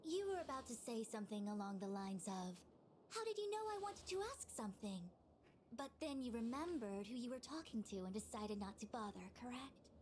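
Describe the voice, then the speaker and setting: A woman acting a brisk, self-assured young female diviner in a video game, speaking formally and precisely. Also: a little high, lively intonation, darkish tone, slight background noise, lightly acted.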